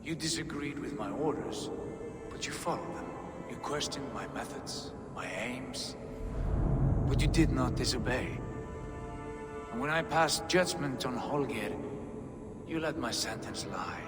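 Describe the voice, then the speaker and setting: A man's voice: medium pitch, unhurried, long pauses, close to an everyday voice. Man as brooding Viking jarl for a game cutscene, formal and grave, long reverb, heavy music bed. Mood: solemn reflection. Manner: solemn, weighty, formal. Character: wounded, proud Viking jarl, solemn and brooding. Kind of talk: video game cutscene acting.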